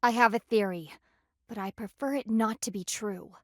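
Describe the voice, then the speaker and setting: A woman's voice: fairly high pitch, normal speed, darkish tone, dry recording, studio quality, close to an everyday voice. A woman voicing a stern, proud female panda warrior in a video game, with formal, clipped and dryly sardonic diction. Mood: solemn, grave. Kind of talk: video game character voice lines.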